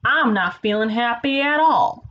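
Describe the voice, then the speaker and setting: A woman's voice: on the high side, lively intonation, lo-fi sound, a little hiss or hum. Woman doing a whiny twanged cartoon guy, restless. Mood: bored, moaning.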